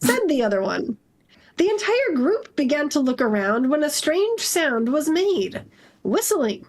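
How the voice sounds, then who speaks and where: A woman's voice: high-pitched, big pitch swings, a little slow, darkish tone. A woman narrating a story live in a group reading, with casual asides.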